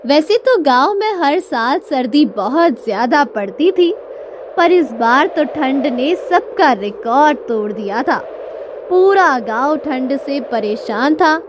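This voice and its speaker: A woman narrating a scripted cartoon story in a dramatic, deliberate storytelling manner. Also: sits high, lively intonation, a little hiss or hum, rough recording. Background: music.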